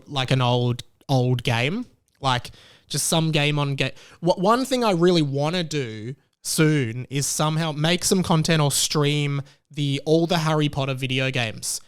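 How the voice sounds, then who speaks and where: A man's voice: a little high, clear, resonant tone. A man hosting a podcast, chatting casually and rambling with lots of fillers and restarts. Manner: rambling, self-interrupting, many fillers. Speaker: podcast host.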